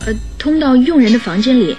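A high-voiced, earnest young lady. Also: lively intonation, brisk, mellow, soft background music. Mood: hesitant, earnest. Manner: polite, careful, hesitant answers. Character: polite, earnest young lady, troubled client. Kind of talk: radio drama acting.

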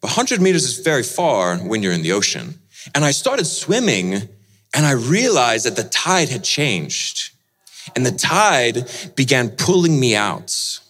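A man preaching as he tells a dramatic personal story, swinging between lively and hushed delivery. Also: mid-range voice, unhurried. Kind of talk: sermon storytelling.

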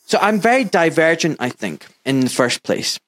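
A man's voice: on the high side, big pitch swings, moderate pace, a bit bright. Earnest mild-Scottish man in his fifties. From Glasgow, Scotland. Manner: earnest, emphatic, personal storytelling. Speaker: guest, surgeon. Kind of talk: candid interview talk.

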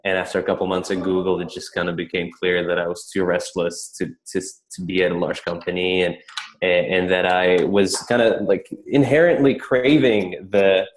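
Guy being interviewed, really low voice, casual and thoughtful, roomy echo. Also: little pitch movement, moderate pace. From Czech Republic. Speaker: interview guest, startup founder. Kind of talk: casual, reflective interview talk. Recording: echoey room.

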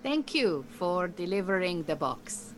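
A woman playing a calm, capable Iranian beekeeping teacher in a naturalistic audio drama, giving measured, reassuring instruction. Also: on the low side. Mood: politely terse. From Iran.

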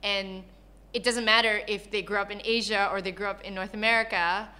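Female interview guest, from Malaysia and Canada. Bright, forward voice, candid and conversational, animated storyteller. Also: medium pitch, a little fast. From born in Malaysia, grew up in Canada. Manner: animated storyteller, acts out quoted dialogue.

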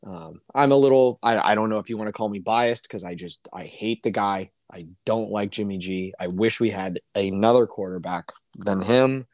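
Hoarse male co-host, exasperated sports rant, dry close sound. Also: moderate pace, hardly any pauses, darkish tone, no echo. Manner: ranting, exasperated, voice climbing high when worked up. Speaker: podcast co-host. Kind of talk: casual sports chat.

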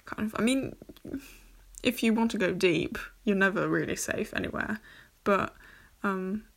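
Slow, hesitant woman hosting a podcast. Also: mid-range voice, creaky. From Germany. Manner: hesitant and rambling, many fillers and restarts. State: a bit nervous. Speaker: podcast host. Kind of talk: casual solo podcast chat.

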